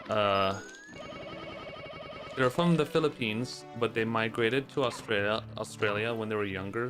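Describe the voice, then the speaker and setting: A man with a fairly low voice and an American accent picked up over years of streaming. He chats loosely and sarcastically, swearing freely and restarting his sentences. Faint game music plays underneath. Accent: American, adopted over years of streaming. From Trinidad. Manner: casual, sarcastic and profane, with many restarts. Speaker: streamer and speedrunner. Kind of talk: casual chat while gaming and reading chat.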